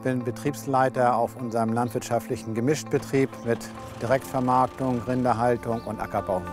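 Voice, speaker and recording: A man in his fifties who runs a farm, speaking calmly and modestly. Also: medium pitch, normal speed, over music. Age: 50s. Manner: calm, modest. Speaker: farm manager. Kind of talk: casual interview statement.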